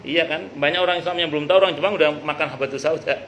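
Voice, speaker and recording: A middle-aged man, an Islamic teacher giving an informal, conversational study talk with jokey anecdotes and some halting repetition. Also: a little high, steady tone, fairly bright tone. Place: a private home hosting a study gathering. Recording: noisy live recording.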